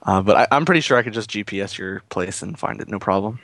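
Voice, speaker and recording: A man playing a raspy-voiced male piano player on a prank call, hesitant and full of fillers. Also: lowish voice, animated, fast, fairly bright tone, dry recording. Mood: earnest. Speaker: prank caller.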